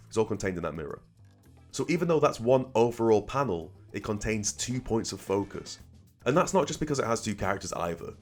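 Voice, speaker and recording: A man narrating an explanatory video essay as its host, conversational and analytical, often checking in with the listener. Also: lowish voice. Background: music under the voice.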